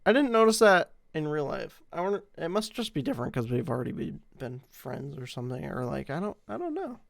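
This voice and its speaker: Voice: medium pitch, sing-song, brisk, vocal fry. A podcast co-host chatting casually and informally, with plenty of slang. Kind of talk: casual chat.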